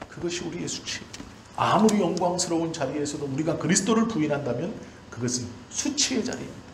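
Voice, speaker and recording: A man in his forties, a pastor delivering a sermon in emphatic, repeated short phrases. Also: on the high side. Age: 40s. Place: church hall during a service. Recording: roomy church echo, faint background noise.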